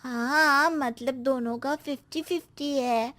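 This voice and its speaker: A woman's voice: sits high, steady tone, slow pace, bright, forceful tone, exaggerated voice. A woman voicing a cheeky, lazy young schoolboy who talks back in a drawl, in a children's cartoon story. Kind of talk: animated children's comedy story.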